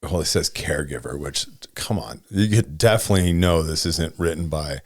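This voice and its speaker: A man's voice: a bass voice, fairly quick, no room sound, clean studio sound. A man in his forties co-hosting a casual podcast, thinking aloud and often checking in with "right?" and "you know". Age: 40s. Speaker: podcast co-host.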